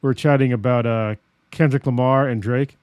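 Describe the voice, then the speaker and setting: A man's voice: mid-range voice, steady tone, a little fast, a little mellow, no room sound. An American man co-hosting a podcast, telling stories in a rambling way with lots of fillers and laughs. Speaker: podcast co-host. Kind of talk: casual chat.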